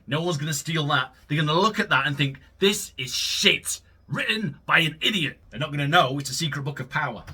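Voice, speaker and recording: A man's voice: fairly high pitch, bright, forceful tone, slightly rough, far from the mic. A man playing an AI story game who jokes theatrically and swears freely. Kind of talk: casual, profane let's-play commentary.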